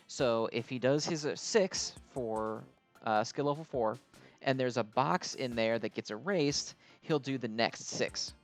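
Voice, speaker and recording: A man hosts a game video in a mid-pitched voice over music. He talks casually and off the cuff, working through explanations in a hesitant, rambling way. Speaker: host of a mobile game video. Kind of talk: casual unscripted game commentary.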